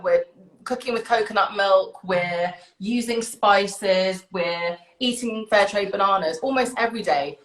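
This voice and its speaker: A woman's voice: mid-range voice, fairly bright tone. A British woman hosting a live online session, chatting casually and enthusiastically to viewers. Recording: roomy, echoing sound.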